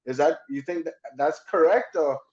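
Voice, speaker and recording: A man's voice: fairly high pitch, normal speed, darkish tone, roomy sound, muffled recording. A man hosting a casual interview, eager and chatty, often restarting his sentences. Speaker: host.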